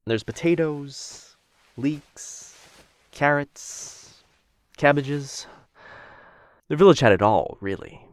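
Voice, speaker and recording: A man's voice: fairly high pitch, lively intonation, slow pace, pauses often, no echo. A man voicing a possessive, doting dragon captor in a boyfriend ASMR fantasy roleplay, theatrical and coaxing, with hesitant musing. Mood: boastful, eager.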